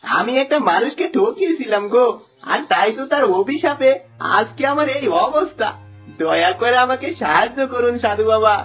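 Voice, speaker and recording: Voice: medium pitch, animated, normal speed, fairly bright tone, breathy edge. An actor voicing a greedy village man who is now remorseful, pleading plaintively in a folktale cartoon. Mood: ashamed confession. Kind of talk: Bengali moral folktale cartoon dialogue. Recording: music under the voice.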